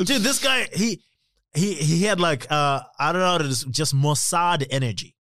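A man's voice: a little high, lively intonation, moderate pace, pushed and bright, no room sound, studio quality. A man co-hosting a podcast in casual chat, rambling, swearing and laughing often. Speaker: podcast co-host. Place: podcast studio.

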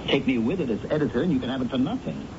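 An actor playing a bitter, lovelorn, defeated middle-aged editor with an American accent, theatrical and sardonic, in 1970s radio drama style. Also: male voice, medium pitch, lively intonation, very fast, vocal fry. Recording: muffled, noisy old radio broadcast recording.

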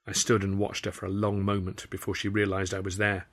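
A man narrates a novel in a fairly low voice. He tells it in the first person, with wry, expressive turns of phrase. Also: brisk. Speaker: author reading his novel aloud.

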